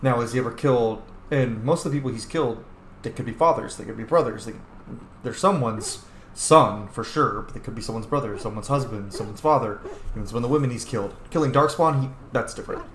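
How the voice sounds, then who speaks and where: Male gaming host, mid-pitched, informal and thoughtful, loose with swearing; roomy recording with slight background noise. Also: fast talker. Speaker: let's play host. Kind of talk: casual roleplay game commentary.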